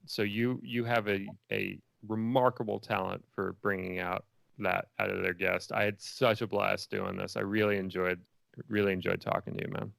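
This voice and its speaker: A man's voice: fairly low pitch, vocal fry, fairly bright tone, dry recording. A man chatting casually as an interview guest, informal and buddy-like, often repeating words for emphasis. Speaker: podcast guest, independent filmmaker.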